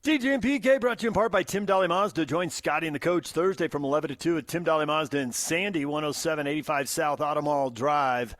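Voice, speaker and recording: A man's voice: a little high, fast, hardly any pauses, fairly bright tone. An American man co-hosting a sports talk radio show, bantering and reading out comments in punchy, emphatic short phrases. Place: radio studio. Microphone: close studio microphone.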